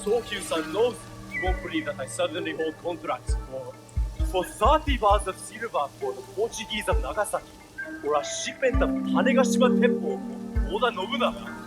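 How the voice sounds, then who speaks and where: An actor playing an excitable, frightened small-time schemer in a video game, blustering and agitated. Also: male voice, sits high, fairly quick, loud music behind the voice, low-quality recording. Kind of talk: video game cutscene acting.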